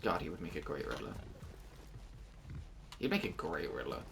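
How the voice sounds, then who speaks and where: A man playing a video game and chatting casually with a friend, laid-back and quick to laugh. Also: big pitch swings, creaky, background noise. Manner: laid-back, laughs at his own jokes, swings widely in pitch. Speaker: game player and co-host. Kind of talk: casual let's-play chat.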